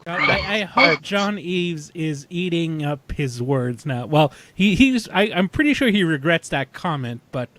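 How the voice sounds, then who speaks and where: A man in a casual fan chat, speaking haltingly and often repeating words as he goes. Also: a little high, animated, a loud crowd. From Canada. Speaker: podcast panelist.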